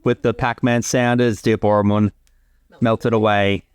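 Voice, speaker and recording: A man's voice: fairly low pitch, little pitch movement, a little slow, clear, resonant tone, no echo. A man giving casual, explanatory creator commentary, sometimes sweary, with soundtrack music behind him. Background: film soundtrack music.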